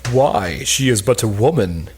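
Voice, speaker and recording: Jealous, probing noblewoman, played by a young man: lively intonation, raspy edge, amateur Elizabethan play reading. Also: lowish voice, a little slow, exaggerated voice. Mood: challenging. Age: young adult. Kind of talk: cold read of Elizabethan stage comedy.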